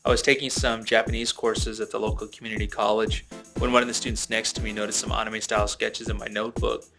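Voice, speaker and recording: Gravelly-voiced man over electronic music. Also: on the low side, little pitch movement, fast. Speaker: studio composer, interviewee. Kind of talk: storytelling in an interview.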